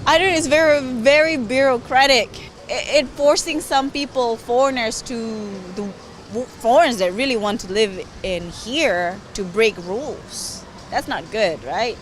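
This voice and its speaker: A man, a professional fighter, answering street interview questions in a halting way while he searches for words. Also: very high voice, fairly bright tone, slight background noise. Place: outdoors on a city street.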